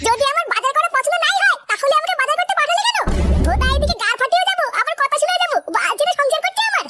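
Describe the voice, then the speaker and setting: Cartoon village rascal: tiny helium-high voice, fast talk, cocky, whiny and foul-mouthed. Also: male voice, animated, over music. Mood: angry. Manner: whining, crude, boastful. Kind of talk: comic cartoon dialogue.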